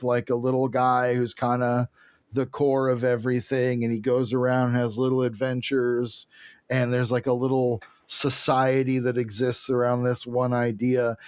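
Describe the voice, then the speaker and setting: A man's voice: medium pitch, clean tone, dry recording. A man co-hosting a podcast in casual chat, telling things in a rambling, digressive way. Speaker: podcast co-host.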